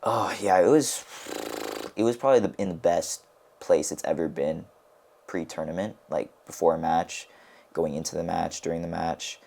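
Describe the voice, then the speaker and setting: A man's voice: deep voice, moderate pace, creaky, darkish tone, slight background noise. A young American man co-hosting a casual podcast, telling rambling stories full of "like" and restarts. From United States. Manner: rambling storyteller, heavy on "like". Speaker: podcast co-host and wrestler.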